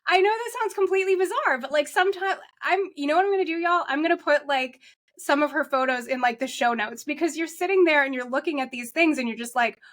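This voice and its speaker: A woman's voice: high-pitched, quick pace, a bit bright. A woman hosting a podcast interview, chatting in a gushing, effusive and enthusiastic way. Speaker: podcast host.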